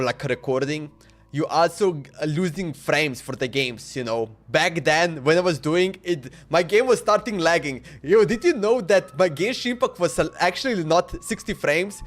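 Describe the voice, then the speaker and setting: Male game streamer, slightly high voice, informal, slangy, loose casual chat. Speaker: game livestreamer.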